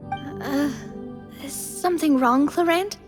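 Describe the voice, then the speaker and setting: A woman's voice: sits high, takes it slowly, soft background music. A woman playing a cheerful, curious, adventurous young woman in a video game. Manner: upbeat, curious.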